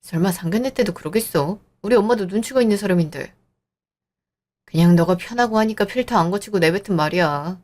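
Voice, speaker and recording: A woman voicing a young man, a fiancé who soothes and smooths things over in an audio drama. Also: medium pitch, brisk, a little mellow, close to an everyday voice. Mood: placating. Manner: soothing, placating. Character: young adult man, gentle peacemaking fiancé.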